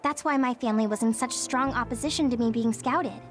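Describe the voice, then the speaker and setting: A woman's voice: a little high, a little fast, faint music behind, close to an everyday voice. A woman voicing an earnest, polite young female recruit in a video game, with a hesitant way of talking. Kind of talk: English video game voice acting.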